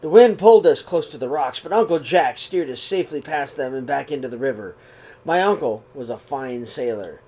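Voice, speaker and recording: A middle-aged man reading a children's picture book aloud in his living room, then talking warmly to young listeners, with a gentle, unhurried pace and the odd throat clear. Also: mid-range voice, lively intonation. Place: living room, seated on a sofa before curtains. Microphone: webcam or laptop camera at close range.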